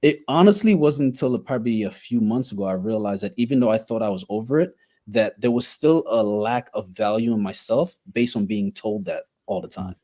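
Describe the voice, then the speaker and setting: A man's voice: fairly low pitch, expressive, fairly quick, mellow. Candid Haitian-born man telling his story. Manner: candid and reflective, with wry laughs. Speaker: podcast guest, coach. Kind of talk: personal storytelling in conversation.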